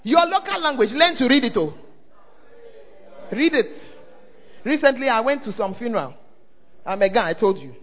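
A man with a Ghanaian English accent preaching a lively sermon as pastor, often calling out to the congregation to check that they are listening. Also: extremely high pitch, normal speed, long pauses. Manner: call-and-response, often asks the crowd "are you there?". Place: church hall. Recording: dull, echoing church hall recording.

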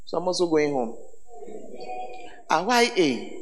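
A man preaching as a bishop, his voice hoarse, teasing the congregation with call-and-response questions. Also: on the high side, big pitch swings, unhurried, a little hiss or hum. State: hoarse, voice tired. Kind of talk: sermon. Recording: echoey hall with faint ambience.

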